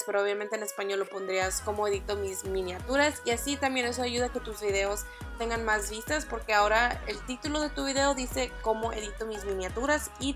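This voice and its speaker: A young woman whose first language is English, chatting upbeat through a screen-recorded tutorial with small fillers and restarts. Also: a little high. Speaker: beauty vlogger.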